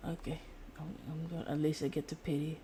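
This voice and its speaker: A woman's voice: deep voice, level tone, brisk, dark tone, slight background noise. A woman streamer chatting casually while gaming, in a rambling, giggly way.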